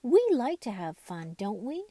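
Woman doing a keen, higher-pitched toy doll. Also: very animated, a little slow, mellow, no room sound. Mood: eager. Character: keen, excitable playful male cloth doll.

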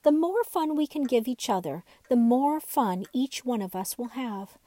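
A woman's voice: on the high side, animated, measured pace, dark tone, no echo. A woman voicing a jolly male doll uncle in a storybook, calling out heartily. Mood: hearty.

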